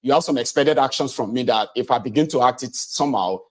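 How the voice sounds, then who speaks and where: Nigerian guy hosting a live phone-in, chatty and emphatic, repeats himself, mid-pitched voice. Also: quick pace, a little mellow. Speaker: host of a political call-in show. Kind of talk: casual live phone-in talk.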